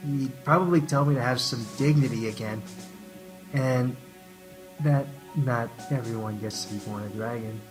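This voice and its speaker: A man's voice: medium pitch, normal speed, natural voice, rough recording. Guy doing a sad shy dragon in an audio drama, hesitant and sincere, music playing behind. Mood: glum, reflective. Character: gloomy, timid, earnest young male dragon. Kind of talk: radio drama monologue and dialogue. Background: loud music bed.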